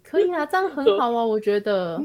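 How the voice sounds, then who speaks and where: A woman's voice: on the high side, sing-song, fairly quick, darkish tone. A woman with a Taiwanese Mandarin accent in casual podcast chat, speaking in an eager, exclamatory, colloquial way.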